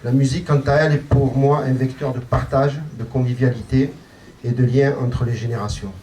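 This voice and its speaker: Fifties guy reading his candidate intro, warm low-key voice, far off mic. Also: steady tone, brisk. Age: 50s. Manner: read, formal phrasing. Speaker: municipal list candidate. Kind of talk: prepared self-introduction. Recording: distant mic with faint noise.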